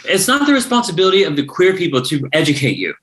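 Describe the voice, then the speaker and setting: A man's voice: on the high side, huge pitch swings, fast, a bit bright. A man acting an argumentative, indignant progressive son at a family dinner, incredulous and combative, in a comedy table read.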